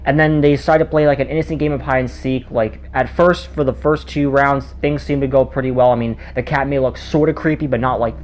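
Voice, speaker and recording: A man's voice: medium pitch, fairly even intonation, brisk, hardly any pauses. A man giving a casual spoken video review in a rambling way, often stumbling over his words. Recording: noticeable background noise.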